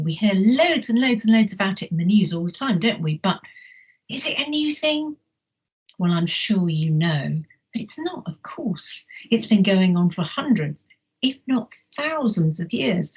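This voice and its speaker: A middle-aged woman with an English accent reads a story to schoolchildren, then gently poses questions. Her voice has a slightly husky edge and sits mid-range. The sound is a little muffled, like a lo-fi online lesson stream. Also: mid-range voice. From Wales. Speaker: teacher. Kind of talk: reading a picture book aloud and teaching children. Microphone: live online lesson mic.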